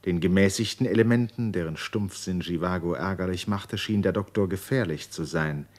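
A man narrating a radio play from a literary script in a measured, composed way. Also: deep voice, a little mellow.

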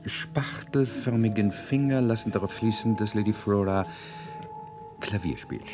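An actor playing a courteous, flattering palm reader in a German radio play, delivering measured pronouncements with obsequious politeness. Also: male voice, on the low side, music in the background, close to an everyday voice. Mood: solemn, courteous.

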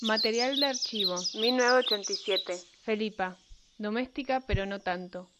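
A woman's voice: on the high side, moderate pace. A woman reading short scripted programme announcements. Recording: muffled, noisy, birdsong. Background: birdsong.

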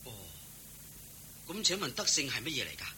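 Eager humble cowherd, bright and lively. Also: male voice, mid-range voice, fairly quick, slight background noise, full character voice. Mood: respectful, grateful. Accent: Hong Kong Cantonese. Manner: eager, deferential, exclamatory. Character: eager, humble, good-hearted male cowherd servant. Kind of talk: radio drama acting.

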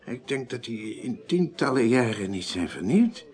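An actor playing an embittered, washed-up politician and ex-naval officer near breakdown in a radio crime drama, speaking haltingly and with grievance. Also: male voice, medium pitch, big pitch swings, darkish tone, close to an everyday voice. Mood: pompous, insistent. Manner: hesitant, halting, aggrieved. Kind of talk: 1980s Dutch radio play acting.

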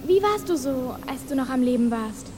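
Casting: sensitive teen girl for audio drama, high youthful voice, earnest and expressive. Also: moderate pace, background noise. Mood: curious. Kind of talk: German radio play acting.

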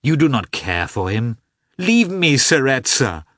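A man reading an audiobook, voicing a despairing young wife who speaks softly and in whispers. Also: sits low, normal speed, dry recording, studio quality. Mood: pleading. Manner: soft, whispered, hushed. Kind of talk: audiobook character voice.

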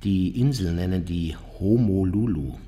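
A man's voice: deep voice, measured pace, dark tone, breathy edge. An actor playing an older, unrepentant Nazi war criminal, smug and matter-of-fact as he recounts his memoirs in a radio drama. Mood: smug reminiscing. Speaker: narrator of his own memoirs. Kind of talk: radio drama monologue, reminiscing.

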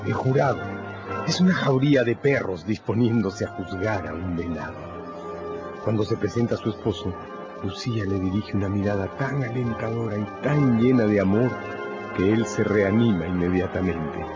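A man's voice: lowish voice, moderate pace. A man narrating a scripted radio drama as a dramatic storyteller. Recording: dull radio recording with music.

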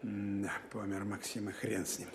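An actor playing a dry, exacting counterintelligence lieutenant colonel, terse and commanding, in a wartime audio drama. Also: male voice, fairly low pitch, completely flat delivery, slight background noise, natural voice. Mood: dry irony. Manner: terse, commanding, deliberate questioning. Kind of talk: Russian wartime audio drama, narration and dialogue.

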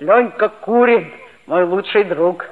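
A woman's voice: fairly low pitch, slow. A woman playing a chatty, cheeky seventh-grade schoolboy who narrates a radio play. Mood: confiding. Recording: old muffled narrow-band radio play recording.

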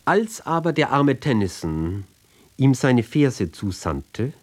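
Slow, deliberate man reading poetry. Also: medium pitch, big pitch swings. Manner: deliberate, measured phrasing with pauses between short fragments. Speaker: reader of literary poems. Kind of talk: literary reading of narrative poetry with short spoken introductions.

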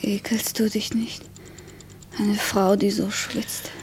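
Hushed, vulnerable woman in love, played by an actress. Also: mid-range voice, level tone, takes it slowly, in a whisper, natural voice. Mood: fragile, insistent. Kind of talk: intimate radio play acting.

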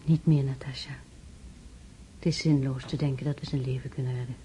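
An actress playing a grave, devout woman keeping vigil by the dying, speaking solemnly and in hushed tones in a radio drama. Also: rumbling low, fairly even intonation, fairly quick, breathy edge, heavily acted. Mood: grave resignation. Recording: dull, muffled, noisy old recording.

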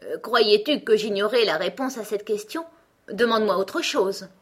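A woman's voice: medium pitch, animated, normal speed, breathy edge, voice pushed up. A woman voicing a pompous, irritable male talking egg in a storybook, with a haughty, emphatic delivery. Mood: boastful, self-important. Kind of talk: storybook character acting.

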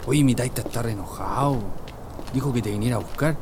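Guy acting worried chilean brother, hushed slangy talk, mid voice, street noise behind him. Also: medium pitch, fast talker, close to an everyday voice. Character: anxious brother sent to fetch his sibling. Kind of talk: radio drama acting. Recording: clear background noise, street ambience.

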